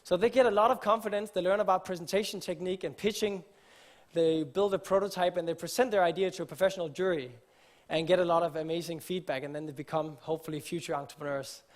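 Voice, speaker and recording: A man's voice: high voice, steady tone, fast talker. A man from Denmark, giving an earnest conference talk on stage, explaining with frequent fillers and restarts. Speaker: conference speaker. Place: conference stage before an audience.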